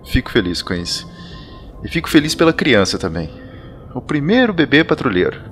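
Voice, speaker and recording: An actor playing an earnest young man who trains in magic, speaking gently and thoughtfully in an audio drama. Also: mid-range voice, big pitch swings, brisk, soft background music.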